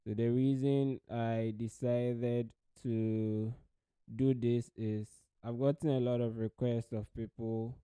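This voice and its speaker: Man chatting on a livestream, slow and easy. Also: mid-range voice, clean tone, no echo. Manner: relaxed, greeting viewers and checking audio. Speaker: livestream host. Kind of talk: casual livestream chat. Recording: music playing behind at first.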